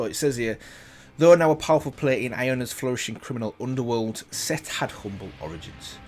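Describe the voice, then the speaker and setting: A man reading a text aloud as a reaction video host, breaking off for casual comments of his own. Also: mid-range voice. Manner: casual asides while reading. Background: loud background music.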